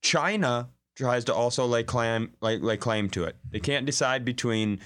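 A man's voice: medium pitch, moderate pace. A man co-hosting a comedy podcast in casual, profane, joking chat, speaking into a room microphone. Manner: profane, animated banter. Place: studio room.

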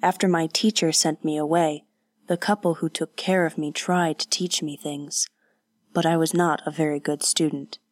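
A woman voicing an awkward, earnest young male warrior who speaks haltingly and often drops to a whisper. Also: sits low, steady tone, no echo, clean studio sound, close to an everyday voice.

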